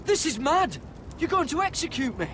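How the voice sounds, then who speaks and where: An actor playing a desperate young recruit in a video game drama, pleading and agitated. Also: male voice, very high-pitched, sing-song, moderate pace, noisy background. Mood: panicked protest. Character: desperate, protesting young male recruit under accusation. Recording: game audio under loud music.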